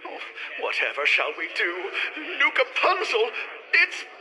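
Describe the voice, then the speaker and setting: A man playing an excitable, pompous theme park boss in a cheesy radio drama, with florid, theatrical delivery. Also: high voice, measured pace, in a large hall, a crowd in the background, heavily acted, rough recording. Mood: panicked, despairing.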